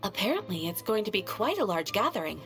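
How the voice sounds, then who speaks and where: A woman's voice: mid-range voice, very animated, fairly bright tone, faint music behind. A woman playing a composed, formal and helpful receptionist at an adventurers' guild in a video game. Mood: courteous, informative. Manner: polite, formal, measured explanation. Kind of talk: video game character acting.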